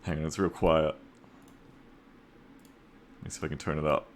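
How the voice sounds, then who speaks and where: A man's voice: extremely low pitch, fairly even intonation, moderate pace, slight background noise. A man chatting casually as a game streamer, blunt and joking with some swearing.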